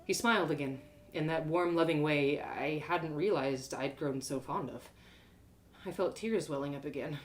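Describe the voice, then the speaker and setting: A woman storyteller narrating a fantasy tale in the first person, in an animated, dramatic reading style. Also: low voice, moderate pace.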